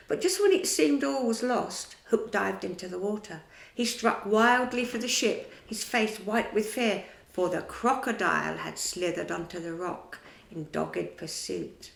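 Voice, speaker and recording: Slow, gravelly woman reading a storybook. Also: a little high, echoey room. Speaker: children's storyteller. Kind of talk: storybook read-aloud.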